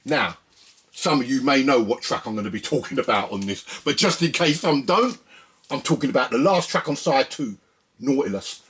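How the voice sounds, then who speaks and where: A man's voice: mid-range voice, expressive, moderate pace, a bit bright, a little hiss or hum. A man hosting a music video series, talking casually and directly to his viewers in a conversational way. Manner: conversational, addresses viewers directly with frequent "listen" and "yeah". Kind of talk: casual chat to viewers about records and samples.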